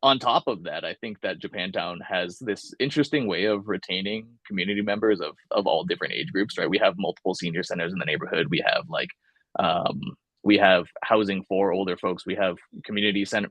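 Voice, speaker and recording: A man's voice: deep voice, a little fast, fairly bright tone, dry recording. A young man from California, talking casually and thoughtfully in an oral history interview, with many fillers and restarts.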